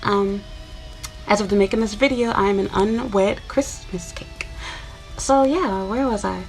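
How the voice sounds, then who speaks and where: A woman's voice: mid-range voice, fairly even intonation, measured pace, faint music behind, poor audio. A woman in her twenties from Chicago, talking casually to her viewers in a rambling, chatty way with lots of fillers. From Chicago, USA. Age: 20s. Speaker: YouTuber. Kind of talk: casual talk to camera.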